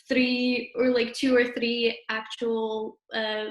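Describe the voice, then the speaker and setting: A young woman in her twenties who grew up in Russia and speaks Russian natively gives casual interview answers. Her voice sits a little high for a woman. The recording is noticeably roomy and echoey. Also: little pitch movement, slow pace. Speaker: interviewee, university student.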